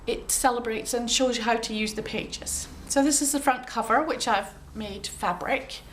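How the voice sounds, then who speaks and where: A woman with a cold, sounding a bit croaky, chatting gently through a show-and-tell of her handmade journal with frequent ums and throat clearing. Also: a little high, slight background noise. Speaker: craft journal maker showing her work.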